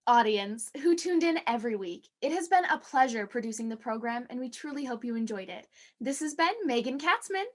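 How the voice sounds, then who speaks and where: A woman playing an excitable 1940s radio co-host with a theatrical, exclamatory delivery. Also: on the high side, fairly quick, close to an everyday voice. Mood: bright, gracious.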